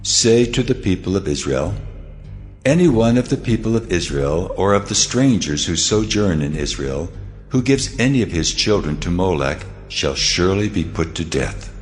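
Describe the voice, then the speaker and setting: The booming Lord God. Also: male voice, expressive. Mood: stern. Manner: slow, weighty proclamations. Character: God, the almighty Lord, stern and solemn. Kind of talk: dramatized scripture reading. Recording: long hall reverb over music.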